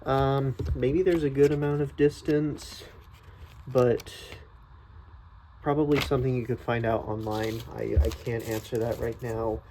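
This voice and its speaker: A man's voice: mid-range voice, flat delivery, unhurried, dark tone, a little hiss or hum, rough recording. A man giving a casual, unscripted product review, thinking aloud in a hesitant, tentative way.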